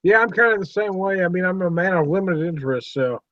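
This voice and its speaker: A fifty-something man hosts a relaxed chat over a video call, talking in a folksy, laid-back way. His voice sits high for a man. The audio is dull and very dry. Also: fast talker, dry recording. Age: 50s. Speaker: host.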